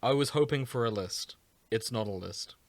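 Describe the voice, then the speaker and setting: A man's voice: mid-range voice, normal speed, no echo. A British man co-hosting a podcast in casual banter, with a dry, crude sense of humour. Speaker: podcast co-host.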